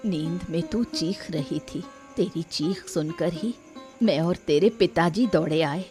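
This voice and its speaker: A woman voicing an anxious, protective wife and mother in a cartoon story, urgent and expressive. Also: on the low side, measured pace, faint music behind, natural voice. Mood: worried, tender. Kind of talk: animated moral story dramatization.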